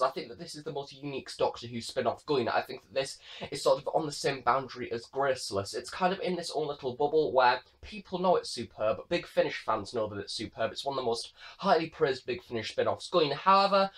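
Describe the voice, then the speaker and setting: Young male vlog reviewer: quick pace, average pitch, eager, wandering, informal delivery. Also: fairly bright tone. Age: young adult. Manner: enthusiastic, rambling, gesturing. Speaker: host, audio drama reviewer. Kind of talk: casual scripted-free review to camera. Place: small bedroom, indoors.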